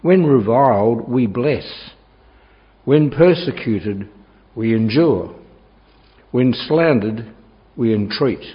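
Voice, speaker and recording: A man reading scripture aloud in a measured, solemn way. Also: medium pitch, expressive. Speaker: scripture reader. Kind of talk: Bible reading. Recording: dull lo-fi church recording.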